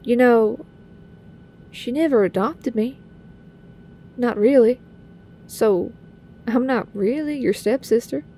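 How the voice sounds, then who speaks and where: A woman's voice: on the high side, slow, pauses often, dark tone, slight background noise. A woman playing a tough young mutant heroine with a casual, folksy, direct manner. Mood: sincere.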